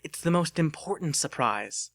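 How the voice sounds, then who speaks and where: A man's voice: on the high side, lively intonation, normal speed, fairly bright tone, dry recording, studio quality, pitched above the performer's own voice. A man voicing an earnest, nervous young male inventor who speaks hesitantly and respectfully in a dramatic audiobook. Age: young adult.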